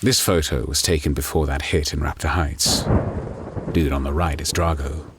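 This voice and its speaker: A man playing a determined undercover detective out for vengeance in an audio drama, husky-voiced, terse and dry. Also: rumbling low, fairly even intonation, normal speed, fairly bright tone, a little hiss or hum. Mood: grim, determined.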